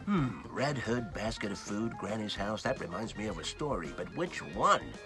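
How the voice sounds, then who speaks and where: A man's voice: on the low side, lively intonation, moderate pace, music in the background, lightly acted. A man voicing a grumpy, scheming, hungry big bad wolf in a storybook, boastful and crotchety. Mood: musing.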